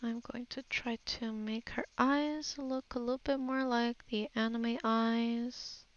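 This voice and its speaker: A woman's voice: on the high side, steady tone, slow pace. A woman giving an unscripted art tutorial voice-over, musing hesitantly as she thinks aloud while she works. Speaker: digital artist giving a tutorial. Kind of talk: unscripted voice-over of a painting process.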